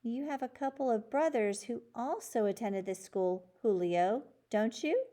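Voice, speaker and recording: A woman reading the part of a friendly, reasonable male principal in a children's storybook, in a measured, conciliatory manner. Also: on the high side, animated, mellow, pitched above the performer's own voice. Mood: kindly, approving.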